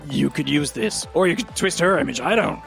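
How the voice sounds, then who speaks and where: Man playing blunt, gravelly, scruffy eccentric show host. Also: fairly high pitch, lively intonation, fairly quick, a bit bright, faint music behind, heavily acted. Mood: urging, rambling. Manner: blunt, rambling, flippant.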